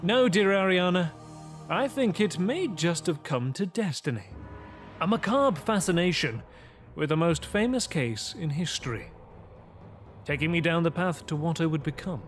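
A man's voice: fairly high pitch, lively intonation, normal speed, close to an everyday voice. An actor playing a brooding, arrogant vampire who recounts his transformation in a formal, theatrical gothic audio drama monologue. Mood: brooding, musing. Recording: music under the voice.

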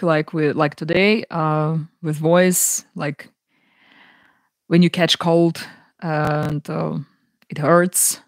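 A young woman with a naturally low voice, chatting casually with viewers between songs in a small home studio, speaking softly and haltingly into a close boom mic. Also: no room sound. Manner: soft, halting, many fillers. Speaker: singer hosting a live music stream. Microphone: large broadcast mic on a boom arm, close.

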